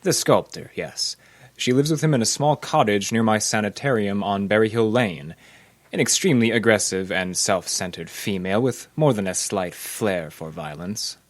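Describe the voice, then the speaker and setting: A man's voice: on the low side, normal speed, natural voice. An actor playing a courteous, precise doctor in an old-time radio mystery, formal and guarded in his wording. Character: formal, smooth, accusing male sanatorium doctor.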